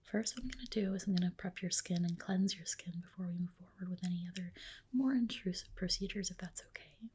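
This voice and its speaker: A woman whispering softly and breathily in an ASMR roleplay as a spa skin specialist, gently explaining and reassuring. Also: on the low side, brisk, breathy edge. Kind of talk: ASMR roleplay, personal attention.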